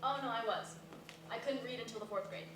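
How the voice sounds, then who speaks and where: A woman's voice: a little high, a little fast, close to an everyday voice. A woman acting a blunt, talkative, self-deprecating woman in casual, naturalistic dialogue at a staged play reading. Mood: self-deprecating, candid. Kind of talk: naturalistic stage dialogue before a live audience. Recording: echoey, noisy room recorded from far off.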